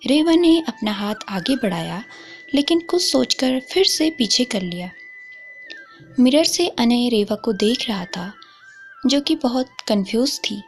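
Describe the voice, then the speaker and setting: A woman narrates a scripted love story as a storyteller. Her voice sits fairly high and she takes her time over each phrase. Faint music plays underneath.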